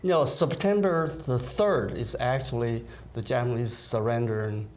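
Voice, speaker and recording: A man's voice: mid-range voice, a little slow, a little mellow. A man who grew up in Taiwan, an event organizer answering interview questions hesitantly, with many fillers. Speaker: concert organizer.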